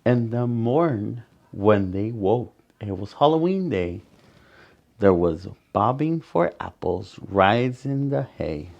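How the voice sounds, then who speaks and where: A man reading a picture book aloud to children as a storyteller, with dramatic pauses and asides to his young listeners. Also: on the low side, expressive, gravelly, muffled recording.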